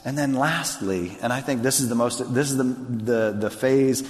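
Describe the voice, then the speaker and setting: A man preaching as a pastor, earnest and conversational, often circling back to restart a thought. His pitch sits in a middle range. The sound carries the long reverb of a large hall. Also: moderate pace. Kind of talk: sermon and onstage interview.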